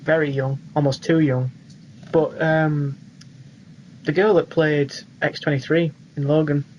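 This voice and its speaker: A man's voice: on the high side, steady tone, a little slow, a little mellow, muffled recording, a little hiss or hum. A young British man co-hosting a podcast, talking informally and agreeably in casual chat. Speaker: podcast co-host. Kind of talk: casual film and game chat.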